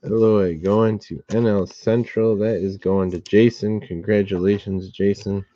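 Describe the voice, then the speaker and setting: A slightly hoarse man hosting a card box break, calmly listing each card and who it goes to. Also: lowish voice, dry recording, muffled recording. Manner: steady, unhurried listing of cards and recipients. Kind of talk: relaxed live box-break commentary.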